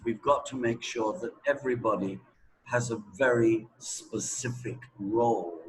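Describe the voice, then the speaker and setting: A man's voice: fairly low pitch, slight background noise, rough recording. A man giving explanatory interview answers as a teacher, emphatic and repeating words for stress.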